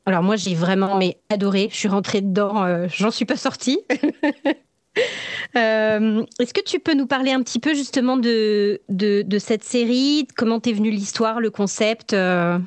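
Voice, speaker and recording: A woman's voice: mid-range voice, measured pace, no room sound. A woman with a mid-range voice. She interviews casually and conversationally, as a podcast host does. The recording is dry, with hardly any room around her.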